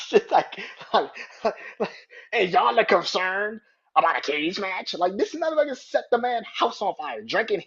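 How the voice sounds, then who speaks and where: A man's voice: high-pitched, sing-song, a little slow, fairly bright tone, husky, muffled recording. A young man hosting a podcast, counting down a ranking in casual, profane talk, often laughing and getting worked up. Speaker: podcast host.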